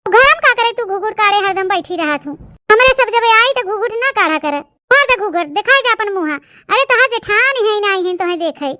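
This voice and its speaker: A woman's voice: very high voice, lively intonation, moderate pace, pushed and bright, dry recording, studio quality, exaggerated voice. A woman voicing a bossy, boastful older village mother-in-law with an eastern Uttar Pradesh accent in a comic cartoon. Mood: proud, coaxing. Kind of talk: comic cartoon story dialogue in rustic dialect.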